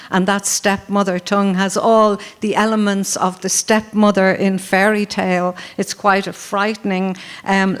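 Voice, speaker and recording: An Irish woman poet at a festival panel, reading her poems aloud in a slow, measured way at a microphone. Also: medium pitch. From Ireland. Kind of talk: panel talk and poetry reading. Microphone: handheld or stand mic.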